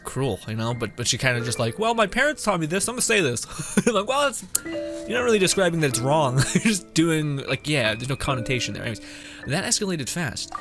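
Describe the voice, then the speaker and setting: Streamer, mid-pitched, chatty and rambling, casually profane gameplay commentary with clear game music behind. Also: very animated, a little fast.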